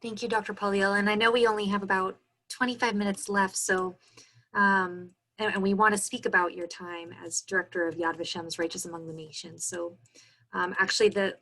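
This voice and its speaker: A woman hosts a live question-and-answer interview, passing along audience questions to her guest. She speaks at a middle pitch, politely, with a few hesitant fillers between phrases. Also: flat delivery, a little fast, pushed and bright, poor audio. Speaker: interviewer and moderator.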